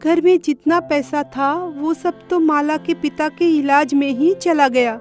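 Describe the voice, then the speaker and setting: A woman's voice: sits high, fairly even intonation, a little slow, darkish tone, pitched above the performer's own voice. A woman voicing a worried, caring widowed village mother in a moral-story cartoon. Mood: worried, despairing. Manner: plaintive, caring. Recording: music behind the voice.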